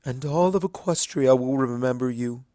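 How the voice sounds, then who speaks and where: A man voicing a motherly, regal female pony mentor, speaking softly and solemnly with sorrow. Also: medium pitch, no echo, studio quality, natural voice. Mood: tender solemn. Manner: soft, solemn, measured.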